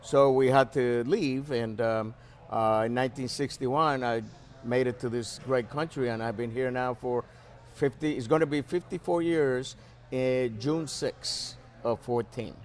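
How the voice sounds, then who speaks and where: A man's voice: medium pitch, measured pace. An older man with a mixed Cuban and New Jersey accent, chatting casually as an interview guest, hesitant but good-humored. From Cuba, later New Jersey, USA. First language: Spanish. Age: 60s or older. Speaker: interview guest, flight instructor. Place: aviation museum at an air show.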